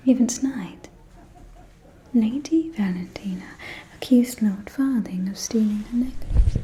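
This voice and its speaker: A catty vampire aristocrat played by a woman whispers gossip in a soft, mellow voice. She takes her time, drawling confidingly and archly, as in an intimate ASMR roleplay. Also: mid-range voice, lightly acted. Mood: warning, intrigued. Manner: slow, confiding, arch, drawling.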